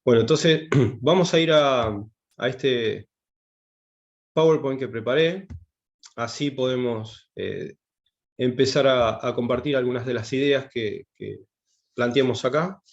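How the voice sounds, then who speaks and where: A man's voice: medium pitch, a little slow, creaky, highs cut off. A man, a taekwondo instructor giving an online class, hesitant and full of fillers and restarts. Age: 50s. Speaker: taekwondo instructor teaching English.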